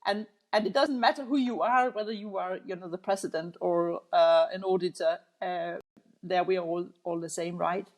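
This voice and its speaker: A middle-aged Danish woman speaks English with a Danish accent, in a fairly low voice. She answers as a podcast guest, measured and thoughtful, often pausing and starting over. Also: fairly even intonation, darkish tone. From Denmark. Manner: thoughtful, many hesitations and restarts. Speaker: guest, audit executive. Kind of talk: interview answers.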